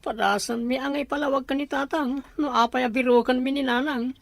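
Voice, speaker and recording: A woman's voice: high voice, fairly even intonation, a little fast, no room sound. A woman playing an anxious, dutiful younger sister in an Ilocano audio drama, earnest and plaintive, with an Ilocano accent. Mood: pleading.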